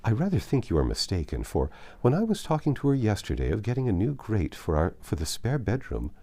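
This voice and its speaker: A man voicing a gently teasing mother of forty in a period novel, with formal, genteel speech. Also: on the low side, huge pitch swings, brisk, voice pushed up, exaggerated voice. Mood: measured, reflective.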